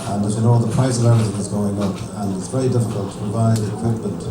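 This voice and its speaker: A man's voice: lowish voice, steady tone, fast. An Irish man giving an informal thank-you speech at a function in a hotel hall. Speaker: event speaker. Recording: echoey noisy hall, distant camcorder.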